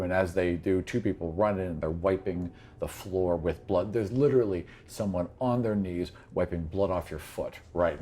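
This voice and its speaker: Low-voiced male game master over background noise. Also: darkish tone. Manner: vivid, conversational storytelling. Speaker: game master and podcast host. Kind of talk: improvised tabletop game narration.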